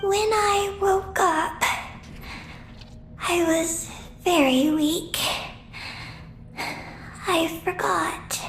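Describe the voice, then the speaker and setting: A woman voicing a frail, solemn moon being in a fantasy video game, breathy and halting, in terse broken phrases. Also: extremely high pitch, reverberant, full character voice, poor audio. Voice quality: breathy, panting. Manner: halting, terse, breaking phrases into single words. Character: frail, solemn, mysterious female moon being with lost memory.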